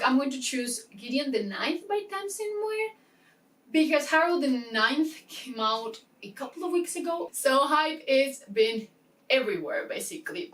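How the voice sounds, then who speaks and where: A woman talking casually to camera as a book vlogger, chatty and excitable, often interrupting herself with asides. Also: high voice, distant mic, low-quality recording. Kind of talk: casual chatty reading-plan talk to camera.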